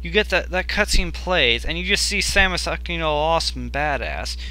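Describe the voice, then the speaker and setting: Bright, forceful young man rambling about games. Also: on the high side, expressive, moderate pace, rough recording. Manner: rambling, self-correcting, enthusiastic. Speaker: video game YouTuber. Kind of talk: casual countdown commentary over game clips.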